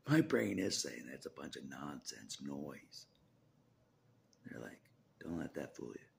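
Very deep gravelly man, half-whispering. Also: sing-song, a little slow, a little hiss or hum. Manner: repeats phrases, hesitant restarts, half-whispered asides. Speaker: religious vlogger. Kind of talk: rambling personal vlog. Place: small indoor room. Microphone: phone at arm's length.

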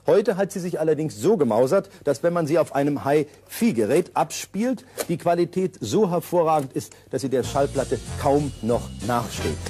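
A man's voice: a little high, lively intonation, normal speed, few pauses, warm tone, soft background music. A man giving a formal, scripted-sounding presentation.

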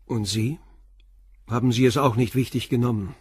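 A man's voice: medium pitch, steady tone, moderate pace, a little mellow, slightly rough, no room sound, clean studio sound. An actor playing a stern, probing police inspector in a radio drama, pressing his questions bluntly. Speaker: police commissioner.

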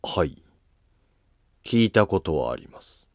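A man voicing a calm, dignified imperial prince in a historical cartoon drama, measured and formal. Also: low-pitched, no echo, clean studio sound. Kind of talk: Japanese historical animated drama acting.

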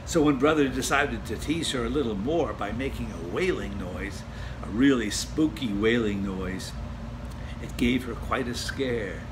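An older man reading a children's picture book aloud in an expressive storytelling style, dropping to a hush for the spooky parts. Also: medium pitch. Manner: gentle, expressive storytelling with hushed spooky passages. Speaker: storybook reader. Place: home room, indoors. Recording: home recording with steady hiss.

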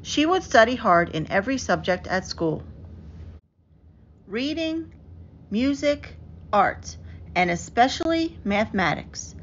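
A woman reads a children's picture book aloud in an expressive, carefully enunciated storytelling manner. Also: fairly high pitch, slow pace, pauses often. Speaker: storybook reader. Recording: dull lo-fi audio with clear background noise.

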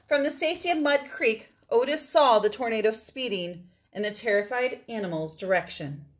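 A woman's voice: a little high, animated. Woman reading a picture book aloud expressively. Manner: dramatic phrasing with drawn-out words for emphasis. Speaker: storybook reader.

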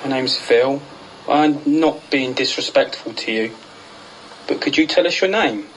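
A man plays a courteous paranormal investigator in a radio play. He speaks tentatively in an average-pitched voice, with faint noise behind him. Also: unhurried, a bit bright, lightly acted, poor audio. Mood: coaxing, respectful. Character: respectful, hesitant male ghost hunter. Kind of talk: audio drama acting.

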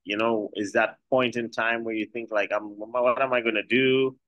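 A man's voice: mid-range voice, level tone, moderate pace, no echo, dull audio. A middle-aged Trinidadian man, a chef and restaurant co-founder, talking in a rambling way with many restarts in a video call interview.

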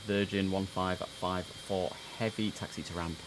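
A young man streaming a tower simulator, giving crisp controller calls between chatty asides. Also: low voice, fairly even intonation, measured pace. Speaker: streamer playing air traffic controller. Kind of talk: gameplay commentary with radio phraseology. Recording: noisy game stream audio.

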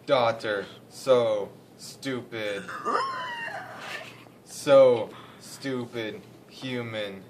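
A man voicing an angry sea king father in a puppet show, chanting short repeated phrases in halting verse. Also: fairly low pitch, noisy background. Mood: brooding anger. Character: angry male merman sea king, a wrathful brooding father.

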